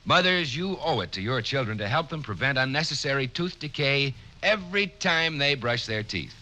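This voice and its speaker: A man's voice: mid-range voice, animated, normal speed, sharp tone. A man announcing an earnest, persuasive 1940s radio commercial.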